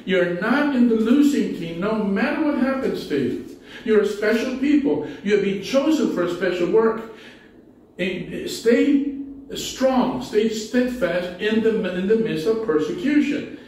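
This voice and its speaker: Pastor with a Spanish accent, echoey hall. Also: male voice, high voice, animated, measured pace, darkish tone, recorded from a distance. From Spanish-speaking country. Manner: conversational preaching with frequent restarts and asides. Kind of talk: sermon. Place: small church hall with low ceiling. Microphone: pulpit mic, far camera.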